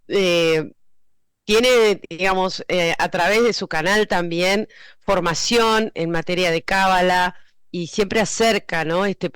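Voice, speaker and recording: A woman's voice: lowish voice, a bit bright, clean tone, no room sound. A woman hosting an interview, asking long, rambling and hesitant questions. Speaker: host.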